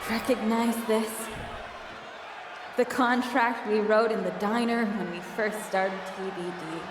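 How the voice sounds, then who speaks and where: A woman's voice: mid-range voice, fairly even intonation, a little slow. A woman playing a bitter, jealous wrestling promoter in a video game, delivering a sarcastic, menacing speech over an arena PA. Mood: smug, menacing. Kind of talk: theatrical in-ring promo speech. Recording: echoey arena PA with crowd noise.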